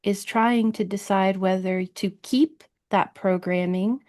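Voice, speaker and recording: A woman's voice: medium pitch, steady tone, slow pace, darkish tone. A woman hosting a podcast interview, explaining her ideas in a chatty way and often checking in with "right?". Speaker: podcast host. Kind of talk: conversational interview.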